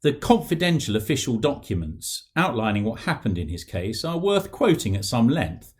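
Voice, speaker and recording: Middle-aged British man, lively measured reader. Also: mid-range voice. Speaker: author reading from his own book. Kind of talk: measured reading aloud from a non-fiction book.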